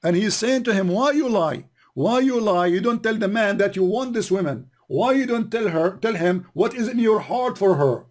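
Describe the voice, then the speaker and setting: Male call-in host, voice going hoarse; high, insistent, argumentative. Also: expressive, normal speed, hardly any pauses. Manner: insistent, repeats questions. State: losing his voice. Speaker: show host. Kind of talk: heated call-in debate.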